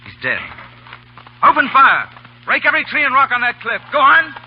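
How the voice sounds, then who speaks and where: An actor playing a hot-headed, defiant army officer in an old radio drama, blunt and impatient. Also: male voice, very high voice, wildly swooping pitch, normal speed, heavy background noise, full character voice. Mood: urgent. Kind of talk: 1930s radio drama acting. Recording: muffled old radio broadcast.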